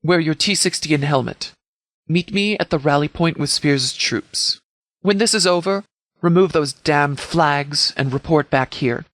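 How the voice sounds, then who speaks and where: A man playing a commanding sheriff in a video game, speaking formally and deliberately as he briefs subordinates. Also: a little high, fairly bright tone, dry recording. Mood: stern, resolute. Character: commanding, measured sheriff leading an armed department.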